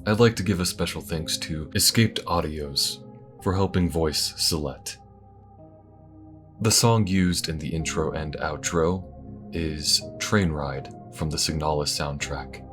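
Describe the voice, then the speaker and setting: A man with an extremely low voice reads a scripted outro. He is a voice actor giving credits and thanks for his audio roleplay, with music faintly behind him. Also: unhurried, fairly bright tone.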